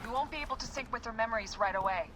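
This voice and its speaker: A woman's voice: fairly high pitch, rapid-fire, noisy background, lightly acted. An actress playing an anxious, cautious young female technician who stammers when worried. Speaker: lab technician.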